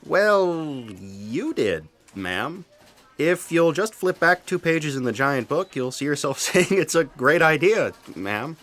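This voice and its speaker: An actor playing a meek copy aide in a comic sci-fi audio play, speaking in a hesitant, obsequious way. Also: male voice, a little high, very animated, slow pace, fairly bright tone, exaggerated voice. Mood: nervously explaining. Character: meek, deferential male copy aide to editor-in-chief.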